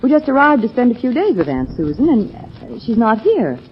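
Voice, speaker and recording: An actress playing an anxious, frightened young niece in an old-time radio mystery, with a dramatic delivery. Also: female voice, a little high, very animated, a little fast. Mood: uneasy. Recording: muffled, noisy vintage radio recording.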